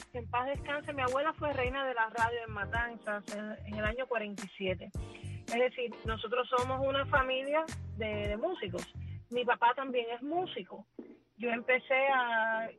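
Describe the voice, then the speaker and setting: A woman in her thirties, a musician whose first language is English, talking warmly in a radio interview and often repeating words as she finds them. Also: fairly high pitch, low-quality recording. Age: 30s. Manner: warm, chatty, repeats words while finding them. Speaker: musician guest.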